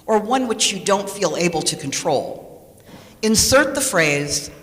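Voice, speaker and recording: A woman's voice: mid-range voice, expressive, a little slow, fairly bright tone. Woman reading a sermon, echoey hall. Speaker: lay speaker reading a sermon. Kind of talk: sermon read from a script. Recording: echoey hall with long reverb.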